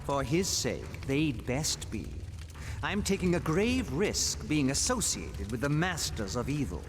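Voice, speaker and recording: A man's voice: mid-range voice, sing-song, measured pace, big-room sound, a little hiss or hum. An actor playing a sly, scornful male trickster god with a mocking delivery. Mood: sneering. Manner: mocking, sardonic.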